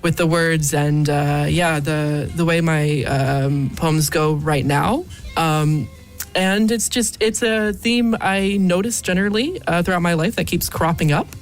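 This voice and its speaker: An American woman in her thirties with a low, deep voice answers a radio host's questions. She talks in a thoughtful, halting way, pausing often with ums and uhs. Soft music plays faintly behind her. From United States. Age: 30s. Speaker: poet, studio guest. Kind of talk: radio interview. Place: radio studio. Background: faint background music.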